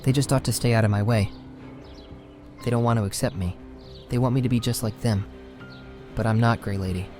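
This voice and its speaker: A man playing a troubled young orphan boy, proud and misunderstood, who talks in a halting, defensive, earnest way in a fantasy horror audio drama. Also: medium pitch, steady tone, a little mellow, faint music behind, close to an everyday voice. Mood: hurt, frustrated.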